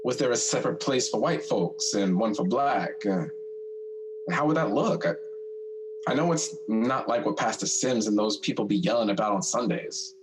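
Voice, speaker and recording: A man's voice: on the low side, expressive, moderate pace, noisy background, close to an everyday voice. Man playing a teasing, insistent older brother. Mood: reflective, uneasy. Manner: insistent, teasing, drawling, stammers when reflective. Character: teasing older brother, a restless young man who has died. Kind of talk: stage-style audio drama dialogue.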